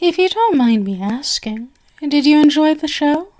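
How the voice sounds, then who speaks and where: A woman's voice: high voice, lively intonation, moderate pace, darkish tone. A woman playing a sinister stage magician in an ASMR roleplay, with a genial, theatrical, flowery manner that coaxes and drifts toward whispers. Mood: attentive, flattering.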